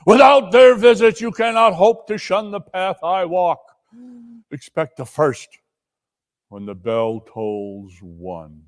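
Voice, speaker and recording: A man playing an anguished ghost of a dead businessman in a dramatic reading, declaiming mournful, drawn-out warnings. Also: high-pitched, expressive, exaggerated voice. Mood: grave warning. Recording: long reverberant hall echo.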